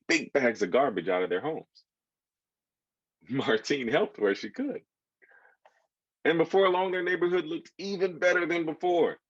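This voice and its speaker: An American man reads a story to children with a lively, swooping delivery. His voice is husky and mid-pitched, and he often turns to his listeners with asides. The sound is dry and a little dull. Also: no echo. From United States. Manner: expressive, engaging listeners with asides. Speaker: children's author reading his book aloud. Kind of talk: animated storytelling to children, with questions.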